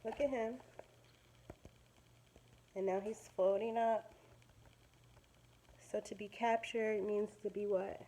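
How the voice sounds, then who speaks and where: A woman teacher reading a children's story aloud in an expressive, dramatic way, pausing to explain words simply to young listeners. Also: mid-range voice, poor audio.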